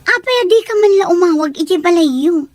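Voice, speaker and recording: A supportive friend acted by a woman with a high-pitched voice. She sounds earnest and caring, delivering lines in the manner of an audio drama. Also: animated, a little fast, a little mellow, dry recording. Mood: concerned. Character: concerned, supportive female friend.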